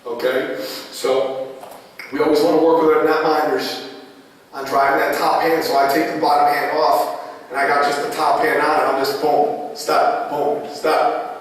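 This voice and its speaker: A man with a raspy voice giving a lacrosse goalie coaching clinic, repeating key phrases as he demonstrates. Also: a little high. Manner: repetitive, drilling phrases, demonstrative. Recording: echoey hall from far off.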